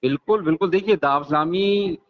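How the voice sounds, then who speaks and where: A man arguing in a phone conversation, emphatic and repetitive, often breaking in with agreement as he defends his side. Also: a little fast. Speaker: debater defending a religious organisation. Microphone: phone call. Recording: muffled low-fi phone call recording.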